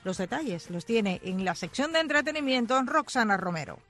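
A radio news anchor reading the headlines and sign-off in a formal, even tone. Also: mid-range voice, fairly quick, faint music behind. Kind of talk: radio newscast.

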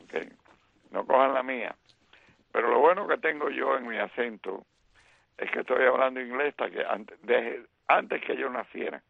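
A middle-aged or older man doing animated baseball commentary on radio. He often fills with "eh" and "¿ok?". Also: mid-range voice, normal speed, creaky, dry recording. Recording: tinny phone-line radio sound.

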